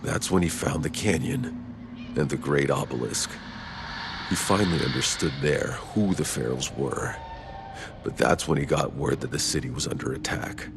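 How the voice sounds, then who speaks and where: A man voicing a blunt, gruff mercenary hero in video game dialogue, swearing freely. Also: very deep voice, normal speed, noisy background. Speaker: player character, mercenary.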